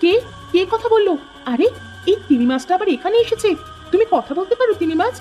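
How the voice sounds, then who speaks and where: A woman playing a tender-hearted, excitable farmer's wife in a cartoon, with expressive exclamations. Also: very high voice, fairly quick, soft background music. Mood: wondering. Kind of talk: cartoon voice acting.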